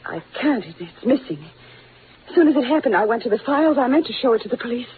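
A woman's voice: a little high, lively intonation, fast talker, slight background noise, close to an everyday voice. A woman playing a flustered, earnest office employee in 1940s radio style. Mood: anxious, insistent. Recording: tinny old radio recording.

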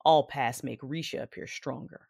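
A woman playing a brooding, commanding Sith who narrates her memories in a measured way for an audio drama. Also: rumbling low, fairly even intonation, gravelly, a little mellow, exaggerated voice. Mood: measured, solemn. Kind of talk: first-person audio drama narration and dialogue.

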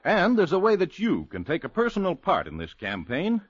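A man's voice: high-pitched, wildly swooping pitch, moderate pace, husky. An American man reading an earnest scripted public service appeal in 1950s radio style. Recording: dull vintage radio recording.

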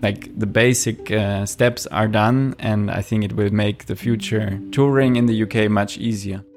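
Young male interviewee, German accent, lowish pitch, casual explanatory answers. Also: steady tone, a little slow, faint music behind. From Munich, Germany. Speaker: juggler, interviewee.

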